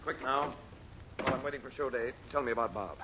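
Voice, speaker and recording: An actor playing a brisk, commanding adventurer uncle with an urgent, clipped manner in a 1940s radio serial. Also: male voice, mid-range voice, pushed and bright, close to an everyday voice. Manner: urgent, clipped, takes charge. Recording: muffled, noisy old radio recording.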